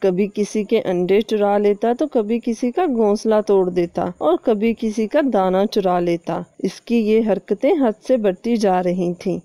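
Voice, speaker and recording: A woman's voice: mid-range voice, mellow, dry recording. A woman narrating a scripted cartoon story as a storyteller.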